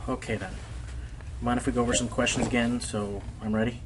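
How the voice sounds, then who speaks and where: A man's voice: mid-range voice, steady tone, moderate pace, heavy background noise, lightly acted. A man playing a worried, weary pony radio host in a radio play. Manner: worried, hesitant, sighing. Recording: loud music over the voice.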